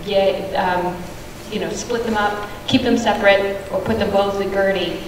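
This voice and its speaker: Brief: woman resident, chatty, filler-heavy, mid-pitched voice, distant in a noisy reverberant hall. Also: fairly even intonation, normal speed. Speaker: resident asking a question at a public meeting. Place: large meeting hall. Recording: echoey hall, distant mic, background noise.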